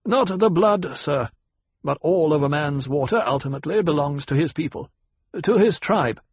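A man narrating a novel puts on a quietly formidable ecologist. The pitch sits raised and the delivery is measured and lecturing. Every phrase carries calm assurance. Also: gravelly, breathy edge, no room sound, full character voice.

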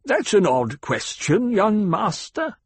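A male audiobook narrator plays a haughty banker in a science fiction novel. The voice is lifted in pitch, rasping and stiff, with slow, pointed phrasing and a resentful edge. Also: big pitch swings, dry recording, heavily acted. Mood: condescending.

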